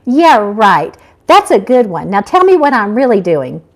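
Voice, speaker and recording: Woman playing catty, animated young woman. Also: medium pitch, a little slow. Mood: skeptical. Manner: catty, indignant, sarcastic. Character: sassy, defiant young woman, newly dead angel recruit. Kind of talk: live audiobook-style reading.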